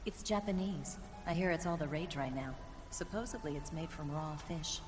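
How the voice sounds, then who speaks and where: Lady doing a sultry self-assured spy woman for a video game, on the low side, music behind, echoey. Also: normal speed, natural voice. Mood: flirty, teasing. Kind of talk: cinematic video game voice acting. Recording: reverberant hall, music underneath.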